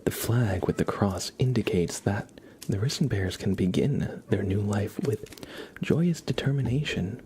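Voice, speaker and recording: A young adult man speaking softly and gently as an ASMR livestreamer, half whispering as he chats casually to viewers. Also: lowish voice, slight background noise. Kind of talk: soft-spoken ASMR, casual chat with viewers.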